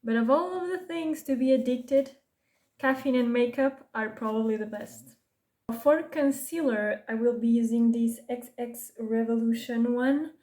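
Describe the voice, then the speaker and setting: A young woman with a Portuguese accent talks through her makeup routine in a relaxed, unhurried way. Her voice is mellow and a little high, and she drifts into asides and corrects herself as she goes. English is not her first language. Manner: casual, self-correcting, rambling asides. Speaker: beauty vlogger. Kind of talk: chatty get-ready-with-me makeup talk.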